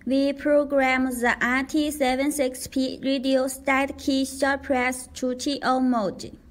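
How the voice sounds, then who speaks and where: A woman reading a scripted product tutorial in a careful, deliberate way as a demonstrator. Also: high-pitched, flat delivery.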